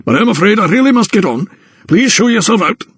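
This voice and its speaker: Man putting on a curt, mocking Scottish anatomist, pitched up. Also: very animated, fairly quick, a bit bright, breathy edge, no room sound, heavily acted. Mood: dismissive. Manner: curt, snapping, sardonic. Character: gruff, mocking older Scottish anatomist, cold and impatient.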